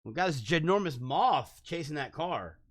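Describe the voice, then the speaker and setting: A man co-hosting a podcast in a casual chat, skeptical and quick with a joke. His pitch swings widely as he talks. The sound is close and dry. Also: animated, fairly bright tone, dry recording. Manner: skeptical, joking, keeps prompting. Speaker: podcast co-host.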